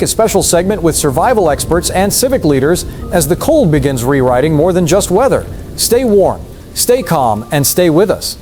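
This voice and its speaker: A man acting a grave, composed news anchor in an audio drama, measured and formal. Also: warm tone. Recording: faint rumbling noise.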